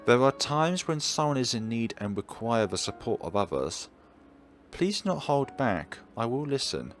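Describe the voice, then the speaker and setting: A man voicing a kind, considerate young woman in a visual novel, speaking softly and gently. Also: mid-range voice, very animated, measured pace, soft background music. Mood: caring.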